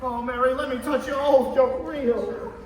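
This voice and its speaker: A man's voice: very high voice, steady tone, a little slow, background noise. A young man acting in a school radio play as an overjoyed, exclamatory family man, stammering with relief. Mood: giddy, relieved. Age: young adult. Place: school theatre stage. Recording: echoey theatre stage, distant microphone.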